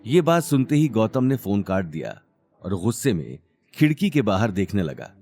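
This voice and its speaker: A man's voice: fairly low pitch, very animated, normal speed, warm tone, soft background music. A man narrating a scripted audio drama serial in a dramatic storytelling style.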